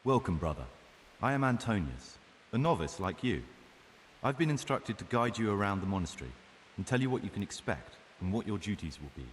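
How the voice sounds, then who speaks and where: A dutiful monastic novice acting as guide. His lowish voice moves in a very varied melody, polite and informative, in an echoing hall with faint hiss. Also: very animated, a little fast. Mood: welcoming, polite. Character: dutiful novice monk guiding a newcomer. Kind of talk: cinematic game dialogue.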